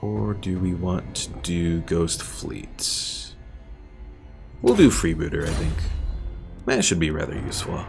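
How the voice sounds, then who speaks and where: A man with a low, fry-laden voice streams a game. He comments casually and thinks aloud about each move, with faint music underneath. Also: big pitch swings, slow pace, darkish tone. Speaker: gaming streamer.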